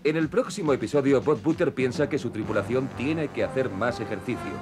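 A man's voice: medium pitch, a little fast. A man reading scripted narration for a children's cartoon as its storyteller. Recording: music behind the voice.

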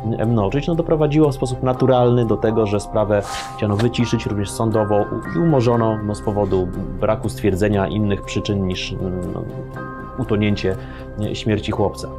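A man's voice: lowish voice, steady tone, normal speed. A middle-aged man recounting events in an interview, talking discursively with many fillers and asides, seated in an office. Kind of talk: interview explanation. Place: office with bookshelves. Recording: music bed underneath.

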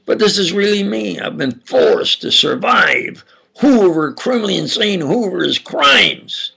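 An older man with an Upstate New York and New Jersey accent, giving a halting, insistent monologue lecture with sudden loud emphasis. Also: sits high, sing-song, gravelly, husky. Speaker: self-styled preacher lecturing on camera.

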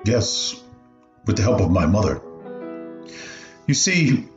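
A man playing an elderly refugee recounting his family's story in a radio play, deliberate and earnest. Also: fairly low pitch, sing-song. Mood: reflective. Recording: roomy, dull sound, piano underneath.

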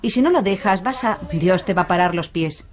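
An actress with a Castilian Spanish accent dubbing a blunt, insistent addiction counsellor in a documentary. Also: female voice, on the low side, expressive, brisk, soft background music, natural voice. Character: blunt, no-nonsense woman counselling recovering addicts. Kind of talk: dubbed documentary conversation. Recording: busy room chatter behind.

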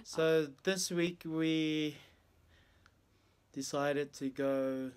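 A man's voice: on the high side, fairly even intonation, very slow, clear, resonant tone. A young man, chatting in a laid-back, jokey way while vlogging from a car. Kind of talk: casual vlog chat. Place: inside a car.

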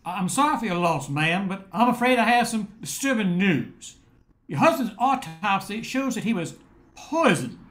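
A man plays a formal police officer with a notably high voice. He is courteous and official, in the plain style of an amateur radio drama. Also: very animated, normal speed, a bit bright. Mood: grave, official. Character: formal, polite police officer bringing bad news. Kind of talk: amateur radio play acting.

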